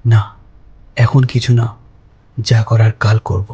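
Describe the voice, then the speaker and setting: A man narrating a first-person ghost story in a slow, measured way. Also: lowish voice, fairly even intonation, creaky, lo-fi sound, noisy background.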